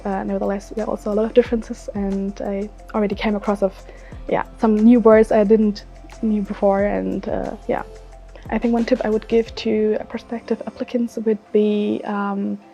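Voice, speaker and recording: A young woman from Germany talks as a student, hesitant and full of restarts. Her voice is gravelly, mellow and fairly flat. The sound is a little dull, with faint music behind her. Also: mid-range voice. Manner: hesitant, many fillers and restarts. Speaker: master's student. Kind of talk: unscripted testimonial.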